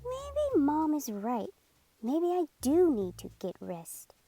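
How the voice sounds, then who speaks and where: A woman's voice: high-pitched, wildly swooping pitch, takes it slowly, dark tone, voice pushed up, heavily acted. A woman voicing a whining young boy in a storybook read-aloud. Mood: calm. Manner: whining, complaining.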